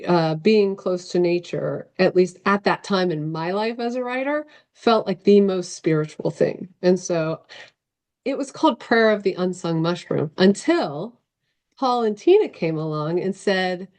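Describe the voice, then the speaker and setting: Woman, slightly low voice, novelist interviewee, casual conversational delivery, halting and reflective, searching for words. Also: darkish tone. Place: video call.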